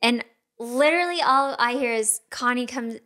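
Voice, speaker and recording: Higher-voiced woman co-host, casual and chatty, laughs a lot. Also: moderate pace, bright, forceful tone. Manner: chatty storytelling, laughs often. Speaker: podcast co-host. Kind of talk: casual chat.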